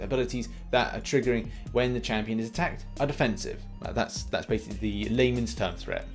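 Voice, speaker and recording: A man hosting a gaming news show, giving scripted commentary in an emphatic, informal presenter style. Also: a little high. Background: music.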